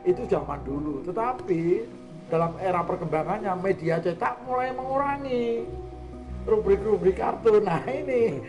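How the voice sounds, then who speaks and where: A man speaking as a guest in a conversational discussion, explaining things with frequent hesitant pauses. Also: high-pitched, over music, low-quality recording. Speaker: guest speaker on cartoon art.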